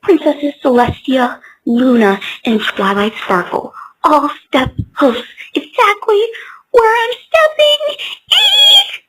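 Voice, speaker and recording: A woman's voice: high-pitched, sing-song, slow, fairly bright tone, full character voice, low-quality recording. A woman voicing a hopeful young female earth pony in a fan comic dub, earnest and starry-eyed. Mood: excited.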